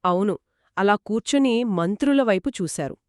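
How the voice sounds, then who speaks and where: A woman's voice: medium pitch, animated, moderate pace, dry recording, clean studio sound. A woman co-narrating a children's moral story in a conversational storytelling style. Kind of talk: conversational two-person storytelling.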